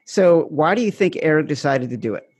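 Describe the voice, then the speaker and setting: A man's voice: fairly high pitch, expressive, a little fast, a little mellow, husky, no echo. A man interviewing an author, speaking casually and often saying "like". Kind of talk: conversational interview.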